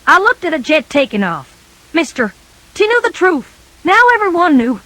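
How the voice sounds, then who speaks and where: A woman reading a scripted children's story in a folksy, animated storybook manner. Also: sits high, normal speed, no echo.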